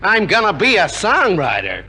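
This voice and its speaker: An eager young go-getter in an old radio drama. He is high-pitched and bright, swinging wildly up and down with restless excitement. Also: normal speed, slightly rough, exaggerated voice. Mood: eager, excited. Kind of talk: 1950s radio drama acting. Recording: old 1950s radio recording.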